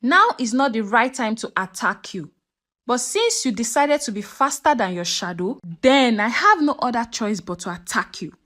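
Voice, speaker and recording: Woman playing sinister old witch, storybook villain, high voice, angry, deliberate menace. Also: big pitch swings, fairly bright tone. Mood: cold menace.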